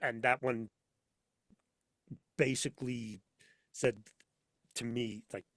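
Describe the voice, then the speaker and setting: A man chatting casually as a podcast guest, speaking haltingly and ramblingly with emphatic, theatrical flourishes. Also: mid-range voice, steady tone, a bit bright, dry recording. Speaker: podcast guest, audio drama voice actor and sound editor. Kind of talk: casual interview chat.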